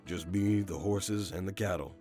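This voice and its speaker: An actor playing a shy, kindly cowboy stable hand in a visual novel, hesitant, apologetic and polite. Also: male voice, low-pitched, steady tone, normal speed, mellow, close to an everyday voice. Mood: awkward, guarded. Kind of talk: visual novel character acting. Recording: guitar music behind the voice. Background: plucked guitar music.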